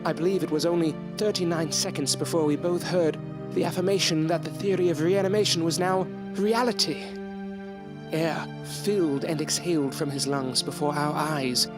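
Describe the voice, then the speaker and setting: An actor playing an earnest, devoted young medical assistant, speaking formally and reverently in a Gothic audio drama. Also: male voice, fairly high pitch, fairly even intonation, moderate pace, over music, close to an everyday voice. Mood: solemn awe. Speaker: actor narrating and playing a character.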